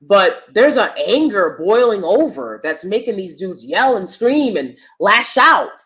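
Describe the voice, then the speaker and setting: A woman vlogger delivers opinion commentary in a loud, projecting voice trained on stage. She punches her points with emphasis and drops to soft, confiding asides. Her pitch sits in an ordinary middle range. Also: sing-song, measured pace.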